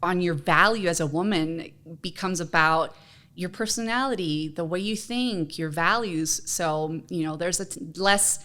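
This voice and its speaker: A woman's voice: fairly low pitch, measured pace, pushed and bright. A woman from Honduras co-hosting a podcast, chatting casually and personally in an earnest, encouraging manner. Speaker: podcast co-host.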